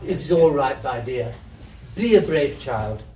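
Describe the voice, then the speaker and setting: A man's voice: medium pitch, lively intonation, takes it slowly, warm tone, roomy sound, recorded from a distance, a little hiss or hum, rough recording. A man voicing a fat, motherly, soothing neighbour woman with a wheezy manner in a storybook reading.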